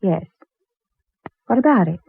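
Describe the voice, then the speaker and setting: A woman acting in an old-time radio drama as a polite librarian who is coy and gently teasing. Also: medium pitch, lively intonation, unhurried, gravelly, slightly rough, dry recording, full character voice. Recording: tinny, dry old-time radio recording.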